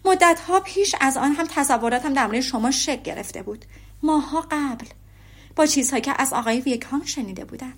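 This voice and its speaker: A woman's voice: sits high, animated. A woman reading as a fiery young lady. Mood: indignant. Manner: heated, rising and accusing. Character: spirited young woman, indignant and sharp-tongued.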